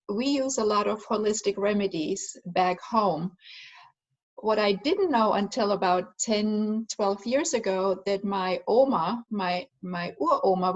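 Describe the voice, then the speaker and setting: A woman's voice: medium pitch, lo-fi sound. Woman. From Germany. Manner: earnest, with frequent fillers and restarts. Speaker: guest, energy healer and aromatherapist. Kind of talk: conversational interview answers.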